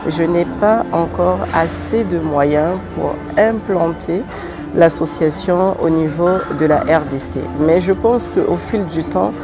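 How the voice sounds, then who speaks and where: Lady from brazzaville, slow and halting, lots of euhs, guitar music behind her. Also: deep voice. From Congo-Brazzaville. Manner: hesitant, many "euh" fillers. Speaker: president of a nonprofit association. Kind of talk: interview answers. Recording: music playing under the voice.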